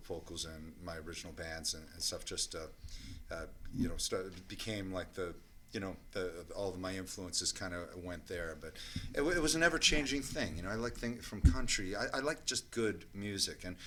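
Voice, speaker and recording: A man who is a guest musician and producer, talking in halting sentences with fillers and restarts. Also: deep voice, bright, forceful tone, a little hiss or hum. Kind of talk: casual interview chat.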